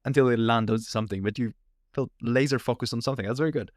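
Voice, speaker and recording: A man hosting a podcast, asking a guest about his studies in a lively, chatty way and often repeating words as he starts. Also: medium pitch, fast, dry recording, studio quality. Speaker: podcast host. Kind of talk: casual interview questions.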